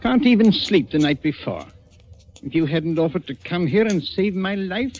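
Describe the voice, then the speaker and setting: A fretful, defensive male prison governor, high-pitched and very animated. He talks emphatically, like vintage radio drama, on a muffled recording. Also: moderate pace. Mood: troubled, insistent. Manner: agitated, emphatic, officious. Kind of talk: old-time radio drama acting.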